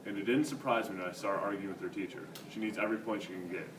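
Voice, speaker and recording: A man's voice: fairly low pitch, fairly even intonation, rapid-fire, close to an everyday voice. A man playing a student witness who gives matter-of-fact testimony. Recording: echoey, noisy room, distant mic.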